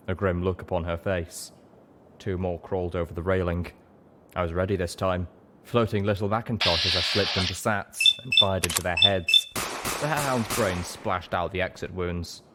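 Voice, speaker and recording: Deep male audiobook narrator, dramatic scripted storytelling, background noise. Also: fairly even intonation, normal speed, pushed and bright.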